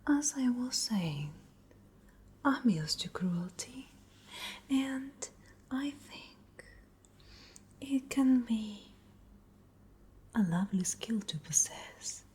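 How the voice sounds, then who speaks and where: A woman playing a cruel, haughty vampire noblewoman in a whispered ASMR roleplay, breathy, deliberate and taunting. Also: mid-range voice, mellow, full character voice. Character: cruel, menacing noble lady vampire of a castle.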